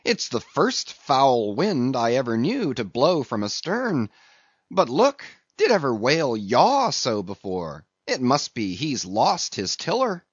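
A man's voice: medium pitch, breathy edge, dry recording, dull audio, exaggerated voice. A man voicing a jocular, blustering whaleboat mate who heartily teases and goads his crew with questions, as an audiobook character. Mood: amused, mocking. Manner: hearty, teasing, rhetorical questions.

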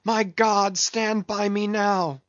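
A man's voice: high voice, fairly even intonation, slow, fairly bright tone, pitched above the performer's own voice. A man voicing a devout, despairing ship's mate with pleading exclamations in an audiobook. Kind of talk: dramatic audiobook character acting. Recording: roomy, slightly dull recording.

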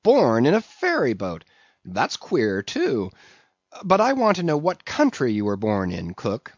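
A man voicing a brash, bossy whaling ship's mate in a storybook, teasing and interrogating loudly. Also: mid-range voice, wildly swooping pitch, unhurried, no room sound, highs cut off. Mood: stern teasing. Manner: loud, teasing, interrogating, swooping exclamations.

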